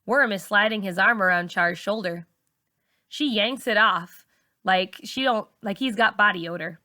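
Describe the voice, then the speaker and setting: A teacher reads a novel aloud in an expressive first-person voice. Her tone is bright and crisp, and the sound is close and dry, with no room around it. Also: mid-range voice, a little slow, no room sound. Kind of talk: audiobook-style novel reading.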